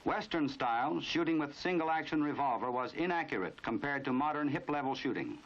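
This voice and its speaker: A man's voice: fairly high pitch, expressive, a little fast, highs cut off. An American man narrating a 1950s instructional film in a formal, authoritative, instructive manner on an old film soundtrack.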